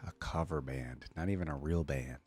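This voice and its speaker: A man's voice: very deep voice, normal speed, darkish tone. A man hosting a reaction video, commenting casually and musing aloud in an informal way. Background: faint music.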